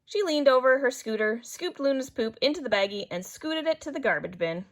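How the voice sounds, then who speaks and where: A woman reading a children's picture book aloud in an expressive, animated way, as a storyteller. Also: high-pitched, brisk. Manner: animated, acts out character dialogue. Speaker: storybook reader.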